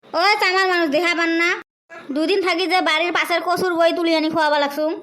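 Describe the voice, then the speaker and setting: Woman acting a shrill-pitched, fast-scolding village wife. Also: fairly even intonation, a bit bright. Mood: scolding, aggrieved. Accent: rural northern Bengali dialect of the Assam-Bengal border. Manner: scolding, snappy. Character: sharp-tongued, long-suffering village wife and mother. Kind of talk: comedy cartoon dialogue.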